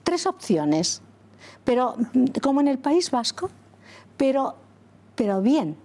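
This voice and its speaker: A middle-aged woman from Catalonia, a former school principal, answering interview questions earnestly and deliberately, pausing and correcting herself often. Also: high voice, sing-song, dark tone. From Catalonia, Spain. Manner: deliberate, with frequent pauses and self-corrections.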